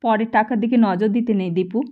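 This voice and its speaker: A woman voicing a gentle, humble, devoted mother in an audiobook, speaking in a reassuring, admonishing way. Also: fairly high pitch, fairly even intonation, brisk, narrow, tinny audio, lightly acted. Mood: gently admonishing. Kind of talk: audiobook character voice.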